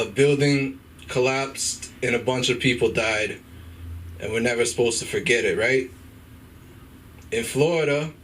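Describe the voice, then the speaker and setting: Young man doing halting open-mic stand-up. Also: mid-range voice, fairly bright tone, distant mic, slight background noise, low-quality recording. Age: 20s. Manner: halting, filler-heavy, swears often, laughs at own jokes. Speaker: stand-up comedian. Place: comedy club stage.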